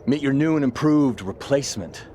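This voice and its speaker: Smug taunting male rival wrestler, ordinary mid pitch. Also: lively intonation, moderate pace, warm tone, slight background noise, natural voice.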